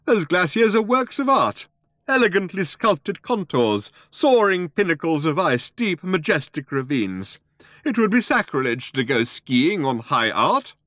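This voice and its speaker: A man's voice: sits high, sing-song, normal speed, fairly bright tone, dry recording, clean studio sound, pitched above the performer's own voice. A man playing a polite, proud, easily flustered old man in an audiobook. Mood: aghast, indignant. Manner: polite, hesitant, indignant when crossed. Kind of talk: audiobook character voice.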